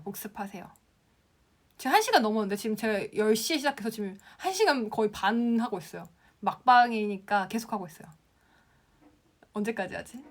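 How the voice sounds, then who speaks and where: A young woman from the Gyeongsang region hosts a casual live stream. She reads viewer comments aloud and answers them with a lively voice that rises and falls a lot, set somewhat high for a woman, laughing often. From Gyeongsang province, Korea. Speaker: Instagram class instructor on a live stream.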